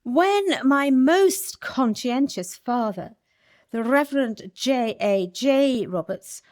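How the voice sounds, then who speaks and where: A woman voicing a prim Victorian vicar's daughter who runs the village school. She is formal and indignant, with a clear, fairly high voice, in a radio play. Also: lively intonation, takes it slowly, a little mellow. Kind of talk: period radio play acting.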